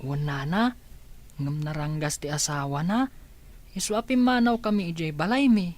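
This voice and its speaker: An actress playing a polite, timid orphaned child with a plaintive manner in an Ilocano radio drama. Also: female voice, lowish voice, normal speed, a little mellow, close to an everyday voice.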